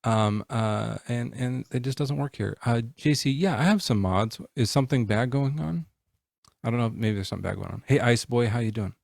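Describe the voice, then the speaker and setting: A man in his forties hosting a live stream, chatting casually in a halting, rambling way with lots of fillers. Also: medium pitch, vocal fry, darkish tone, no echo, clean studio sound. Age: 40s. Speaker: livestream host.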